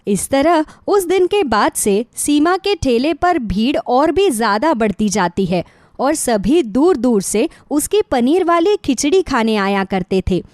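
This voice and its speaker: A woman's voice: sits high, no room sound, clean studio sound. A woman reading scripted narration for a children's moral story.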